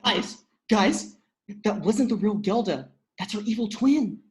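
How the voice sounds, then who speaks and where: A woman playing an earnest, excitable young human in a comedy table read. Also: mid-range voice, unhurried, close to an everyday voice. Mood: urgent.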